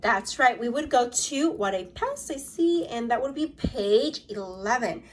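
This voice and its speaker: A woman with a fairly high voice speaks very slowly, as an elementary teacher does in a read-aloud lesson. She addresses young pupils directly in an encouraging, instructive way, moving between reading and questions. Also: expressive, a little hiss or hum, low-quality recording.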